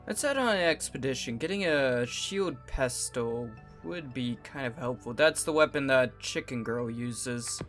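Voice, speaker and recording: A man's voice: mid-range voice, animated, unhurried, hardly any pauses, a bit bright, soft background music. A man hosting a gaming channel, giving sarcastic, exasperated let's play commentary and reading game text aloud, with casual swearing.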